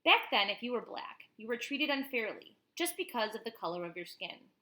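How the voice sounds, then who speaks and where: Bright, higher-pitched woman reading to children. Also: a little fast. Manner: expressive, explanatory, addresses children directly. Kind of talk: animated picture-book read-aloud with informal asides.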